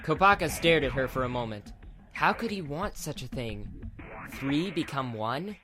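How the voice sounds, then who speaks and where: Male narrator over clear background music. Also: medium pitch, lively intonation, normal speed. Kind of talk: scripted audiobook narration.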